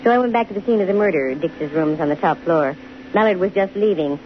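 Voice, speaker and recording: A woman's voice: lowish voice, brisk, natural voice. An actress playing a bold, dryly wisecracking female private investigator in a 1950s radio detective drama. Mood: wry. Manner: dry, wisecracking. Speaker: lead actress and first-person narrator of a detective radio show. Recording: hissy, muffled old radio recording.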